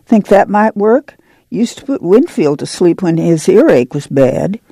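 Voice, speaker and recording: A practical farm mother, as a male narrator voices her in an audiobook. The voice sits high but soft and mellow, with plain folksy rural speech. The sound is dry and close. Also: very animated, measured pace, dry recording, exaggerated voice. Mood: earnest.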